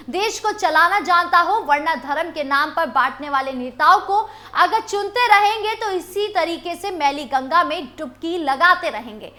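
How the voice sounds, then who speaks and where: Female news presenter, scripted bulletin read, very high pitch, bright forceful tone. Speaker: news anchor.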